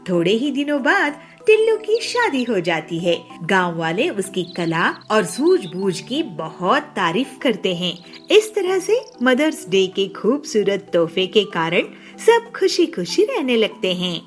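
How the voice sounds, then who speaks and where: A female storyteller narrates a scripted children's tale. She takes it slowly. There is faint music behind her. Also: mid-range voice, big pitch swings.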